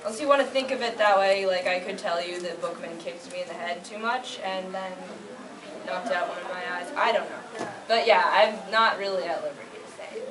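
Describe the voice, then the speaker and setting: A woman's voice: on the low side, a little fast, natural voice. A woman as chatty teasing young anime guy, echoey room. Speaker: cosplay panelist. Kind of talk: improvised in-character panel banter. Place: convention panel room with audience. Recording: echoey noisy convention room, far from mic.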